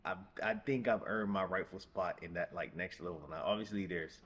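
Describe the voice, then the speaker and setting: Very deep-voiced man in his twenties. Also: moderate pace. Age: mid-twenties. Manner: rambling, repeats phrases, relaxed. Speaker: vlogger. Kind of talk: casual vlog talk to camera. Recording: echoey room with background noise.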